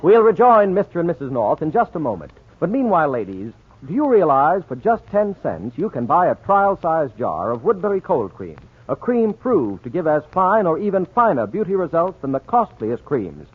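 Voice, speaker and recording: A man's voice: fairly high pitch, sing-song, normal speed, few pauses, slightly rough, narrow, tinny audio. A man with an American accent reading scripted narration as a 1940s radio announcer, polished and emphatic. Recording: thin 1940s radio recording, music behind.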